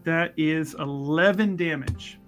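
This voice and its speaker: Male tabletop player, slightly high voice, joking, unhurried, faint music behind. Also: a bit bright. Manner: jokey, laughs often. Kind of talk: casual game table chat.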